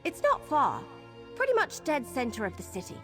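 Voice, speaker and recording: An actress playing a brisk, determined adventurer companion in a video game, purposeful and friendly as she gives directions. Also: high-pitched, wildly swooping pitch, a bit bright, soft background music, exaggerated voice.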